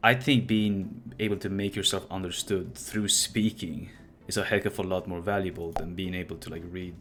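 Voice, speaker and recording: A man's voice: low voice, fairly even intonation, normal speed, music in the background. A young man, a university student, chatting casually as host, rambling and restarting as he thinks aloud. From Sweden. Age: young adult. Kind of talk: casual chat.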